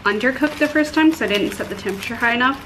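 A young woman with a fairly high voice chats casually as a vlogger. She is bubbly and pokes fun at herself in an informal way. She records indoors at home, with noticeable room noise behind her. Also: racing. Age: 20s. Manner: bubbly, self-deprecating, informal. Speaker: beauty and lifestyle vlogger. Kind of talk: casual chatty vlog.

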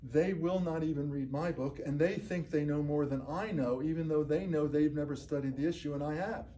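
A man's voice: fairly high pitch, brisk, warm tone. An older man speaking at a lectern without a microphone, answering audience questions in a blunt, emphatic way and often checking with "okay?". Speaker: speaker giving a talk. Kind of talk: lecture and audience Q&A. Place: community meeting room. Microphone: far camera, no microphone.

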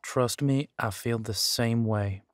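An actor playing a steady, level-headed detective in an audio drama, matter-of-fact in delivery. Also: male voice, mid-range voice, slow pace, no room sound, clean studio sound, natural voice.

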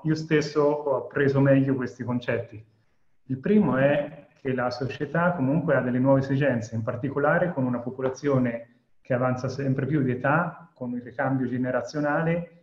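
Male presenter, thirties, online talk delivery, echoey and slightly muffled recording. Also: mid-range voice. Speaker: event co-founder and presenter. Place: indoors on a video call. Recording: echoey, dull webinar audio.

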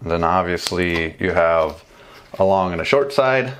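A man's voice: low voice, lively intonation, a little slow, a bit bright, slight background noise. A man in his thirties casually demonstrating a gear install at his desk at home, chatty with fillers and restarts. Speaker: motorcycle travel vlogger. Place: small home room with bookshelves and desk.

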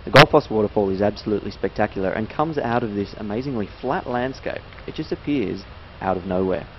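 A man's voice: on the low side, animated, a little fast. An Australian man giving a casual, chatty, unscripted travel narration with bits of science explained informally. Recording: dull, lo-fi audio with loud background noise.

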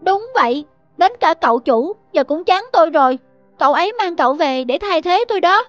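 Prickly blind cartoon dog: very high voice, sullen, self-pitying, faint music behind. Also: female voice, animated, brisk, dry recording, dull audio. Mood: bitter, dejected. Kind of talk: dubbed cartoon acting.

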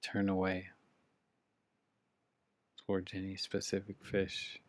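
A man leading a live guided meditation, speaking calmly and slowly with long pauses between phrases, from home over a video call. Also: low voice. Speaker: meditation teacher. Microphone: video call mic.

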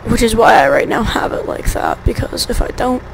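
Woman, gameplay commentary, rambling and chatty, sore gravelly voice. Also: on the high side, expressive, normal speed, a little hiss or hum, rough recording. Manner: rambling, self-correcting, chatty. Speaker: gaming YouTuber.

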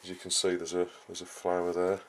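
Low, even-voiced man chatting while gardening. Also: quick pace. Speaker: gardening vlogger. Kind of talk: casual explanatory chat while gardening. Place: outdoor vegetable garden and greenhouse. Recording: clearly noisy outdoor ambience, uneven distance.

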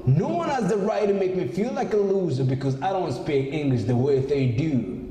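A young man ranting hard to his camera, angry and emphatic, swearing as he goes. His voice is mellow and fairly high, swinging up and down. The small room rings with long, loud reverb, and music plays behind him. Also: animated, moderate pace. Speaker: vlogger. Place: small indoor room with maps on wall.

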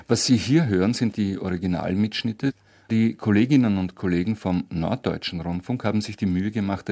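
A man's voice: low voice, creaky, a little mellow, breathy edge. A man hosting a radio programme with scripted narration. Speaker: radio host.

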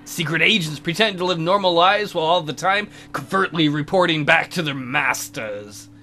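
A man's voice: a little high, normal speed, bright, forceful tone. A man co-hosting a let's play, acting out an enigmatic tutor's lines from a visual novel in a jokey way. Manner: jokey ad-libbing. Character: enigmatic male tutor hinting at secrets. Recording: guitar music behind.

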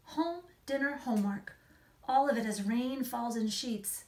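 A woman in her forties, an author reading and explaining things to children in an expressive, emphatic storytelling manner. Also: a little high, measured pace. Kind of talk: expressive picture-book read-aloud and explanation to children. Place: small indoor room against a plain wall.

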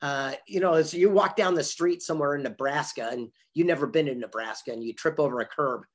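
A husky man in his fifties speaks as a motivational guest on an online interview. His voice sits a bit high for a man, and he tells anecdotes with emphasis, playing out both sides of the dialogue. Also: a little fast. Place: indoor home office with memorabilia wall. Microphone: video call, computer mic.

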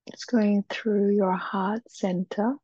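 A woman leading a guided meditation in a soft, breathy, soothing voice, with short phrases and long pauses. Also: mid-range voice, steady tone, no room sound. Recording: dull, muffled, dry recording.

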